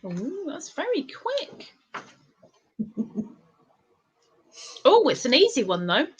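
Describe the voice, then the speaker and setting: Playful high-voiced woman host. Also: slow, dull audio. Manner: playful, teasing, animated. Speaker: live-stream interview host. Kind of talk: casual live-stream interview.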